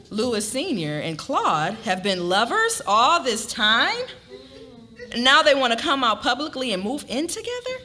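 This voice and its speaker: A woman's voice: a little high, sharp tone. A woman narrating a scripted story as a dramatic reading.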